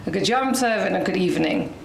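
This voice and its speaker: A South African woman with a loud voice gives an inspirational talk to an audience as guest speaker, chatty with light laughs. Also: mid-range voice, expressive, fast talker, creaky, roomy sound, slight background noise, low-quality recording. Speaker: guest speaker at a community dinner.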